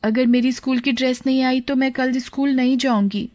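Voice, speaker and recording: Lady doing a whiny little cartoon schoolgirl, insistent, pitched up, dry close sound. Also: fairly even intonation, fairly quick, darkish tone, dry recording, close to an everyday voice. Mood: insistent, complaining. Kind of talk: cartoon story dialogue.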